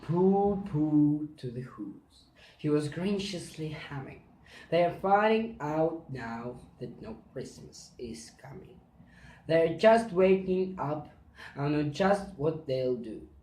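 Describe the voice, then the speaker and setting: A man's voice: fairly high pitch, lively intonation, slow, mellow. A man with a Russian accent reading a storybook in verse aloud. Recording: echoey room.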